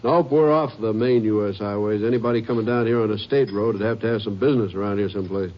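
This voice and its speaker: An actor playing an easygoing small-town sheriff with a plain-spoken drawl in a 1950s radio drama. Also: male voice, on the low side, fast, close to an everyday voice. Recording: dull old broadcast with hiss.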